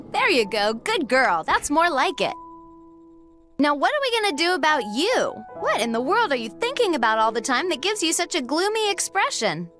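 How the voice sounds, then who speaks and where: A woman's voice: high voice, very animated, moderate pace, a bit bright, faint music behind. A woman playing a confident, teasing, no-nonsense young woman in a video game, frank and casual in manner. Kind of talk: animated video game voice acting.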